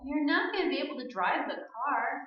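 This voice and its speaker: Casting: actress as high, fretful young woman in shock, horror radio drama, heavily echoing stage. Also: animated, moderate pace. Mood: dazed. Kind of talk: staged radio play acting. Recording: echoing stage, dull sound.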